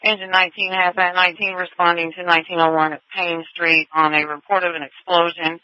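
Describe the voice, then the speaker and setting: A radio dispatcher with a sharp, very bright voice in a medium register. The delivery is procedural and clipped, confirming units and times in set phrases. It comes through a band-limited scanner radio. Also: mid-range voice, little pitch movement, a little fast, no room sound, dull audio. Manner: clipped, formulaic, matter-of-fact. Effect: two-way radio.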